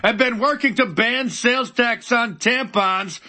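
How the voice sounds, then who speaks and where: A man giving mocking, sarcastic video commentary, slightly hoarse from a cold. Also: extremely high pitch, measured pace, bright, forceful tone. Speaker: political commentator.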